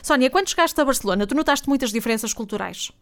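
Portuguese woman host doing an interview, talks fast, really crisp smooth voice. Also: high-pitched, expressive, a bit bright. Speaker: interviewer, radio-style host. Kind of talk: conversational interview hosting.

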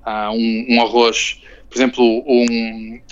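A man's voice: mid-range voice, little pitch movement, slow. A young man, chatting casually as an interviewee, rambling with restarts and frequent tag questions. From Portugal. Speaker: interviewee, Portuguese living in Barcelona. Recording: dull lo-fi sound.

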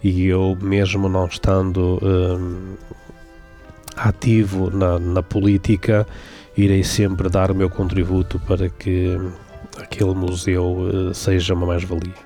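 A man speaks haltingly, often repeating words. His voice is very deep, dark and flat, with faint music in the background. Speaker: parish council president. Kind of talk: panel talk.

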